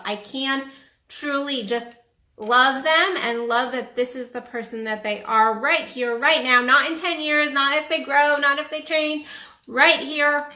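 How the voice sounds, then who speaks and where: A woman's voice: high-pitched, bright, forceful tone. A woman life coach talking in a casual livestream monologue, rambling and earnest, with frequent restarts and the odd swear word. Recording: roomy echo.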